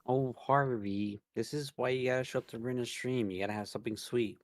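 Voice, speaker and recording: A man's voice: mid-range voice, fairly even intonation, brisk, no echo, clean studio sound. A man co-reading a visual novel on a stream, in a casual, chatty style. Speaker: co-reader on a game stream.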